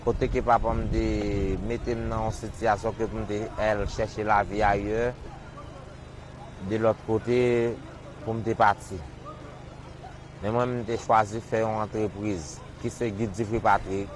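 A man, a business owner answering interview questions haltingly, with some repeated words. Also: lowish voice, flat delivery, poor audio.

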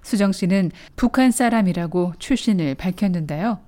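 A woman narrating a documentary news feature in a measured, formal script. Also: medium pitch, mellow. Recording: narration over faint music.

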